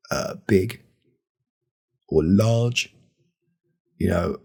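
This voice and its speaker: A man playing a boastful but insecure podcast co-host in a comedy parody, stammering and repeating himself in a raspy, creaky voice. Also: low-pitched, a little mellow, heavily acted. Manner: stammering, halting, repetitive.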